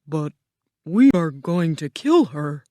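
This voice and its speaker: Storybook scarecrow, brainless but willing, earnest, plain-spoken, drawn-out words from a female reader. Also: low-pitched, big pitch swings, dark tone, no room sound, exaggerated voice. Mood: determined. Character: male scarecrow without brains, simple, willing, loyal.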